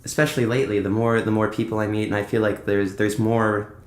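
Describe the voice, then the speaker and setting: A young American man, a musician guest, chatting casually and haltingly in an interview. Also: fairly low pitch, level tone. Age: young adult. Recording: echoey, roomy sound.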